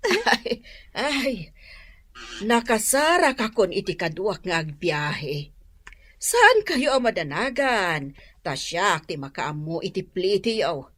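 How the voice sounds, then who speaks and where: Slow, swooping Ilocano auntie. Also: female voice, medium pitch, sing-song, a bit bright, heavily acted. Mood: delighted. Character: middle-aged aunt, lonely homeowner keen on travel. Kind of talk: Ilocano radio comedy drama acting.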